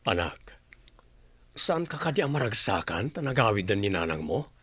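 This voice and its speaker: Gentle, apologetic father, dry sound. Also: fairly low pitch, big pitch swings, moderate pace, breathy edge, no echo, heavily acted. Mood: soothing. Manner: soothing, earnest. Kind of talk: radio drama acting.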